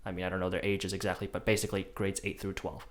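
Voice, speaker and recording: A young man, host of a podcast, talking casually and relaxed, often tagging on "right?". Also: low voice, quick pace. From China. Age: young adult. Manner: relaxed, conversational, rhetorical "right?".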